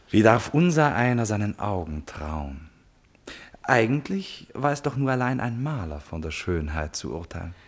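An actor playing an impulsive, self-absorbed prince in love, in a classic German radio play, with theatrical exclamations and musing asides. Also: male voice, on the low side, big pitch swings, unhurried, exaggerated voice. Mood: awestruck.

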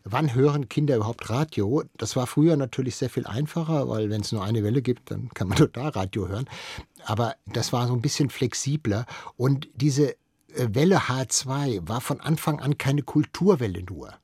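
A man's voice: mid-range voice, moderate pace, darkish tone, slightly rough, no echo, studio quality. An older man, a radio veteran, explains things conversationally as an interviewed expert, often correcting himself as he thinks aloud.

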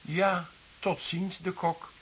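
An actor playing a stern, formal police commissioner in a radio drama, with an authoritative, clipped manner. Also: male voice, high-pitched, level tone, takes it slowly, heavy background noise. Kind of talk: Dutch radio drama acting.

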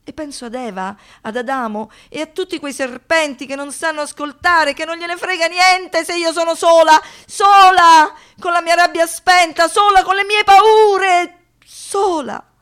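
A woman's voice: extremely high pitch, a little slow. An actress playing a traumatised young woman who survived a brutal assault, in a poetic, declamatory radio monologue, anguished and defiant. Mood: desolate. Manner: dramatic, swinging between hushed reflection and impassioned outbursts.

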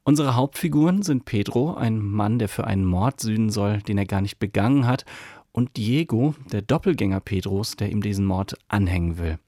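Casting: male announcer, formal and measured, mellow lowish voice, dry booth sound. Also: expressive, dry recording. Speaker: radio announcer. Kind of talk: scripted programme introduction.

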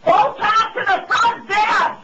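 A woman's voice: very high voice, fairly even intonation, slow pace, pushed and bright. An older woman speaking as a hotel guest on a phone call. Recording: muffled, very noisy telephone line.

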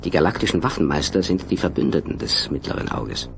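A man's voice: very deep voice, animated, quick pace, creaky, over music, full character voice. A man narrating a science-fiction radio play with grave, dramatic scripted delivery.

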